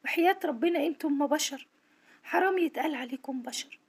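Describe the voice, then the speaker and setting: A woman's voice: high-pitched, fast talker. A woman with an Egyptian accent, a social worker, speaking to camera from home with a tired, strained voice in an earnest, indignant appeal. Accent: Egyptian Arabic. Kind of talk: monologue to camera.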